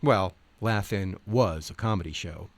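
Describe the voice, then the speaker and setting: A man's voice: sits low, measured pace, no room sound. A man reading nonfiction aloud as a narrator, in an articulate, wry, wordy style.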